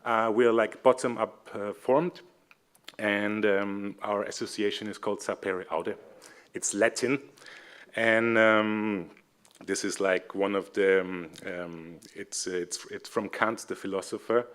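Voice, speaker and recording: Young Austrian man, lowish pitch, friendly and halting presenter at a seminar. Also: a bit bright. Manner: hesitant, many fillers, friendly. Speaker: seminar speaker, civic educator. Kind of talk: conference presentation.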